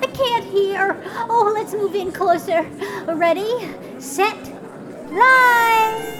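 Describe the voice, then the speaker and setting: A woman playing a small, impulsive, childlike fairy in a fairytale audio drama, excitable and impatient. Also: very high-pitched, extremely slow, a crowd in the background, heavily acted. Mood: eager, excited. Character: small impulsive, childlike flying fairy who loves cake. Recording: music behind the voice.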